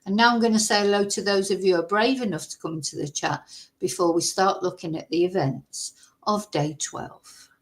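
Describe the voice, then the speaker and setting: A woman from Manchester with a softened Mancunian accent, hosting a casual true-crime livestream, chatty and rambling, greeting viewers by name. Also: medium pitch, steady tone, normal speed, low-quality recording. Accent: softened Mancunian English. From Manchester, England.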